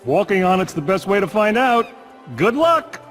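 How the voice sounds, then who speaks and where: Cheeky cartoon critter, male: high, animated, coaxing, light music behind. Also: normal speed.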